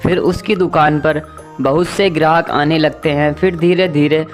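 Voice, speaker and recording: A man's voice: a little high, fairly even intonation, normal speed. Male children's story narrator over music.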